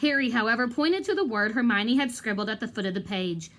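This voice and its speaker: A woman reading a children's novel aloud like a teacher, in an expressive, dramatic storytelling manner. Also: fairly high pitch, fairly quick. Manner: animated, dramatic.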